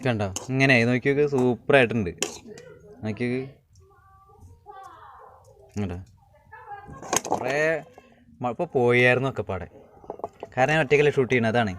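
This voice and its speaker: A man's voice: mid-range voice, normal speed, long pauses, a bit bright. A man from Kerala, India, casually showing viewers how he cooks at home, rambling and repeating his phrases as he works. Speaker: home cook and vlogger. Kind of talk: casual cooking demonstration to viewers. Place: home cooking spot with wood fire. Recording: clattering pans and clicks, noisy self-shot recording.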